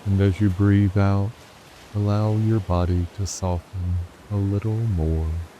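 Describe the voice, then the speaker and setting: A man guiding a sleep meditation in a slow, soothing, hushed way. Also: sits low, steady tone, highs cut off, slight background noise. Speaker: meditation guide. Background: soft ocean waves and stream.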